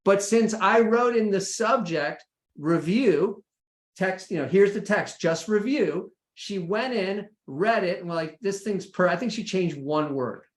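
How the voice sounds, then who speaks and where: A man's voice: high-pitched, expressive, unhurried. Echoey American man teaching informally. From United States. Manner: conversational, checks in with "right?" and "okay?". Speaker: session presenter. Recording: echoey room sound.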